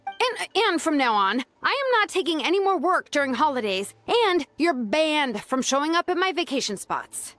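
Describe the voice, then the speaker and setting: A woman's voice: high-pitched, sing-song, normal speed, fairly bright tone, soft background music. A woman voicing an exasperated, blunt young craftswoman in a video game, scolding with emphasis.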